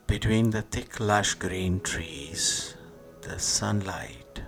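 A man guiding a sleep meditation, speaking soothingly in short hushed phrases with long pauses, often fading into a breathy whisper. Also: deep voice. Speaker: meditation guide. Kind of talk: guided sleep meditation narration. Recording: music behind the voice. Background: meditation music.

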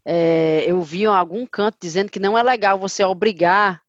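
A Brazilian woman co-hosting a podcast, chatting and explaining quickly. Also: medium pitch, dry recording, muffled recording. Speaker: podcast co-host. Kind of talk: casual chat.

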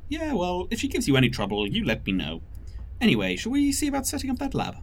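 A man playing a polite, fatherly man in an audio drama, speaking in a gentle, courteous, reassuring way. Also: high voice, wildly swooping pitch, quick pace, slight background noise, exaggerated voice.

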